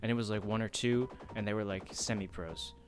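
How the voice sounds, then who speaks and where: A man's voice: fairly low pitch, steady tone, fairly quick, over music. A man chatting casually and informally on a livestream as he plays, with a self-deprecating manner. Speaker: streamer. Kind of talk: casual livestream chat while gaming.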